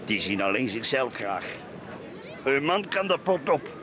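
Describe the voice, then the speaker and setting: A man's voice: mid-range voice, big pitch swings, a little slow, crowd noise. An actor with a Flemish accent playing a bitter, boastful, drunken middle-aged man in a radio play. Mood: grumbling, boozy. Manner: rambling, repetitive, cackling, tipsy. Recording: radio play with clear outdoor background noise.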